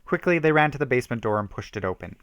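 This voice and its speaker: A man's voice: mid-range voice, animated, racing, a bit bright, highs cut off. A man giving an amateur dramatic reading of a horror story, with theatrical emphasis.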